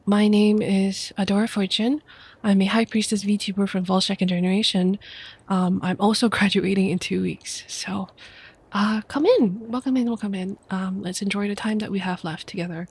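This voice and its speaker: Soft husky young woman reading aloud. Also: medium pitch, steady tone. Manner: soft, quiet, unhurried reading voice. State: sick with a stuffy nose. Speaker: VTuber streamer. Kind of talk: soft ASMR book reading.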